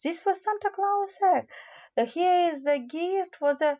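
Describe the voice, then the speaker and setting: An earnest, kind little animal boy from a storybook, voiced by a woman, speaks with wide-eyed wonder. The voice is high and soft, unhurried, on a slightly dull home recording. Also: expressive. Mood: delighted. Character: earnest, kind-hearted young animal child, male.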